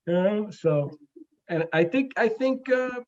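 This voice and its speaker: The voice is a man's in his forties, pitched a little high, on a slightly muffled, lo-fi recording. He grew up in the Bronx with Spanish as his first language. He talks like an interview guest, earnest and halting, often pausing mid-phrase to find the next word. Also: a little mellow. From the Bronx, New York, United States. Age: 40s. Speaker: guest, comic book creator. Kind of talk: conversational interview.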